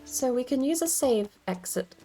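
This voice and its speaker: A woman's voice: high-pitched, lively intonation, moderate pace, dark tone, faint music behind. A woman giving casual livestream game commentary, rambling and thinking aloud as she plays. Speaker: game streamer.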